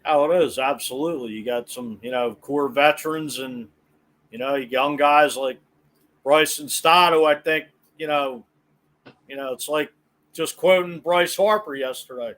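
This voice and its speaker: A man's voice: a little high, a little slow, fairly bright tone. An American man hosting a sports podcast in a casual, chatty style. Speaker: podcast host.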